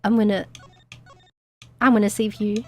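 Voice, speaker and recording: A woman streamer with a hoarse, tiring voice, giggling and chatting casually with crude jokes as she plays. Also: mid-range voice, little pitch movement, normal speed, warm tone, soft background music. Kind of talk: casual gaming stream chat.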